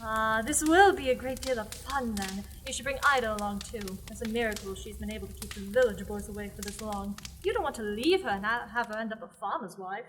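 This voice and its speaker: A woman's voice: a little high, fairly quick, few pauses, fairly bright tone, a little hiss or hum, lightly acted, poor audio. A young actress playing a playful, mischievous village witch who talks in a wry, teasing way. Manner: wry, teasing and thrill-seeking. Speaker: student actor in a radio play. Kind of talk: amateur radio play acting.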